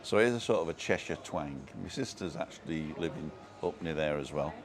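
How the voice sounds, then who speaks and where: Deep-voiced man with a soft Manchester accent. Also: lively intonation, a little fast, noisy background. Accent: soft Manchester English. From Manchester, England. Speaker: live stream host. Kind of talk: casual live chat, reading viewers' comments aloud.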